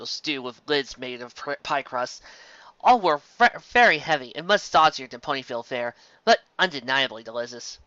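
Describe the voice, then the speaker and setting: A man with a fairly high, forceful voice narrates a fantasy story from a script. His reading is expressive, and the recording is dry but slightly dull. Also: dry recording. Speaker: fan fiction narrator.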